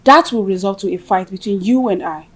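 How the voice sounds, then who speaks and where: A woman's voice: mid-range voice, a little fast, fairly bright tone, close to an everyday voice. Woman acting a cool, self-assured schemer. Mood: cool, scheming. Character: scheming woman coolly plotting to ruin a marriage. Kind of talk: film drama dialogue.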